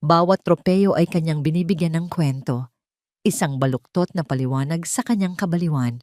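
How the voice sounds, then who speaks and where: A woman's voice: deep voice, normal speed, darkish tone, no room sound, studio quality. A woman narrator reading a dramatic scripted story.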